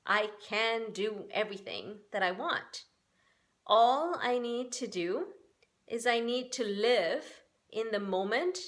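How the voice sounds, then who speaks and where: A woman's voice: mid-range voice, a bit bright. Singapore-raised woman in her 40s, talking earnest and upbeat, cheering other women on. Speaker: spiritual workshop host. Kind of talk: personal talk to camera. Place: Bay Area, United States.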